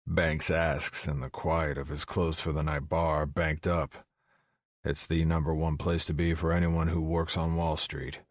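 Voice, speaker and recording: A man with a husky voice reading first-person romance audiobook narration. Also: rumbling low, little pitch movement, a little slow, no echo, clean studio sound.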